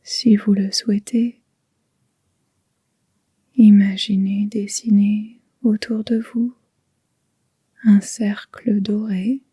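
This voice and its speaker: A woman leads a guided relaxation in a very breathy, whispery voice. Her delivery is slow and gentle, with long pauses between phrases, and stays on an even, level tone. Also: mid-range voice, no echo. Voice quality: soft, breathy, near-whispered. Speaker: yoga nidra guide.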